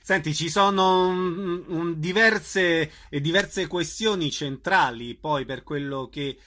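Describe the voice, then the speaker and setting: A man's voice: fairly high pitch, takes it slowly, pushed and bright. A man hosting a radio interview in an informal, friendly way.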